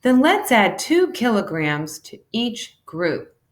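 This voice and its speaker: A woman giving an animated, deliberate read-aloud of a children's educational storybook, shifting between character lines. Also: a little high. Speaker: teacher reading a children's math book aloud.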